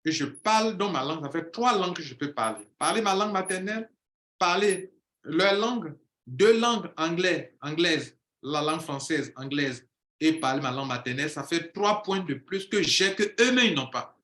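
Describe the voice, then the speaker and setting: Bright-voiced man declaiming in an echoey room. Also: on the high side, animated, normal speed. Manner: declamatory, repeats phrases for emphasis, rises to emphatic peaks. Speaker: coach. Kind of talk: impassioned monologue.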